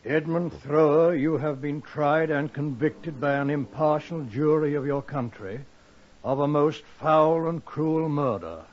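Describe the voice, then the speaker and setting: An actor playing a stern, solemn judge, speaking in a grave, formal, measured way in a 1950s radio drama. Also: male voice, a little high, slight background noise, close to an everyday voice. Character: stern, solemn male judge pronouncing sentence. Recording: dull 1950s radio recording.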